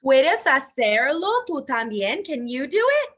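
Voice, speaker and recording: A woman's voice: high-pitched, sing-song, a little slow. A woman teaching bilingually at an interactive children's story time, upbeat and encouraging.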